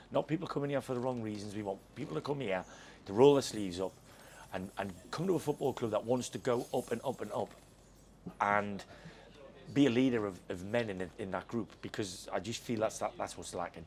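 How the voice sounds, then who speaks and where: Frank football boss, low voice, post-match interview with faint background hiss. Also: male voice, darkish tone. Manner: frank, reflective, repeats words while thinking. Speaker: football manager. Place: football stadium.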